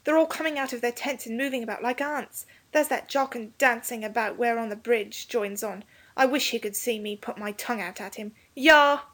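A woman's voice: fairly high pitch, fairly even intonation, brisk, few pauses, pitched above the performer's own voice, lightly acted. A woman voicing a bold, cheeky young boy who eagerly takes command in a children's storybook. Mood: excited.

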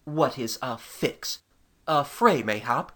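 A woman voicing a haughty, gallant medieval knight in a storybook, with husky, pompous, stately archaic speech. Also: very deep voice, animated, slow, fairly bright tone, pitched below the performer's own voice, heavily acted. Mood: puzzled, haughty. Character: haughty, gallant medieval knight captain, male, gravely noble. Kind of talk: storybook character dialogue.

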